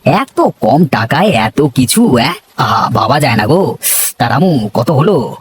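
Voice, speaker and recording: Guy as greedy food customer in a cartoon, super raspy, lots of folksy exclaiming. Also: medium pitch, sing-song, unhurried, exaggerated voice. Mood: delighted. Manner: exclamatory, drawling folksy interjections. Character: delighted, greedy male customer at village eatery. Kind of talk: Bengali cartoon folktale voice acting.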